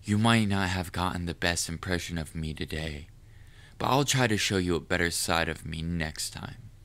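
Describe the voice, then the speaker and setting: A man playing a proud, cunning, lovestruck assassin in an audio roleplay, speaking formally and deliberately to the listener with quiet menace. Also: low-pitched. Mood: quietly threatening. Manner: formal wording, deliberate, measured. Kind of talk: scripted audio roleplay monologue addressed to the listener.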